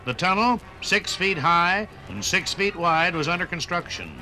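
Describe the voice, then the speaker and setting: A man's voice: sits high, lively intonation, normal speed, bright, forceful tone, poor audio. A man with an American accent giving theatrical, declamatory narration in a 1950s radio documentary. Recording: 1950s radio record, faint music behind.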